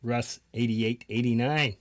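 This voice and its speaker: A man's voice: mid-range voice, fairly even intonation, a little slow. Laid-back male host, noisy line. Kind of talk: casual chat on a video call show. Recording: noisy background with clicks.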